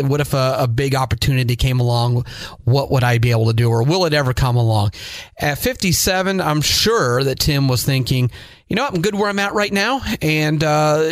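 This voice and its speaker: A man's voice: medium pitch, dry recording. A man in his forties from Northeast Ohio with an American accent, talking conversationally as a podcast host. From Northeast Ohio, United States. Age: 40s. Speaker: podcast host, high school sports broadcaster. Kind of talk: conversational podcast introduction and interview.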